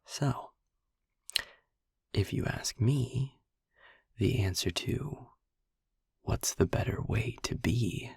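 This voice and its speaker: Man playing a low, husky, half-whispering sardonic demon. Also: darkish tone, no echo, studio quality, exaggerated voice. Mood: firm, earnest. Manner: sardonic, deliberate, ranting with pauses and sighs. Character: sarcastic, philosophical male demon, frustrated but principled. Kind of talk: intimate scripted audio roleplay monologue.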